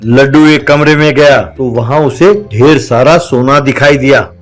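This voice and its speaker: A man's voice: mid-range voice, unhurried, soft background music. A man narrating a scripted moral story as its storyteller.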